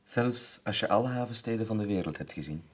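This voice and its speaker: A grave village man narrating in a Flemish radio drama. His voice is very low. He speaks solemnly, as one voice of a chorus. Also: lively intonation, quick pace, slightly rough.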